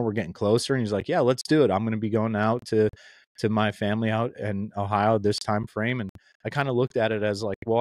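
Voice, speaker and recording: A man's voice: on the low side, dry recording. A young man hosting a podcast, chatting casually and rambling in a friendly way, often calling his guest "man" and "dude". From Pennsylvania. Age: young adult. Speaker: podcast host.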